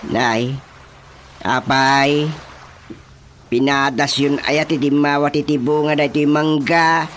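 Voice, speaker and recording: A man's voice: on the high side, flat delivery, takes it slowly, fairly bright tone, slight background noise. A man acting a stubborn villager in an Ilocano radio comedy, with an Ilocano accent and emphatic interjections. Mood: stubborn, protesting. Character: stubborn male villager defending his mango tree.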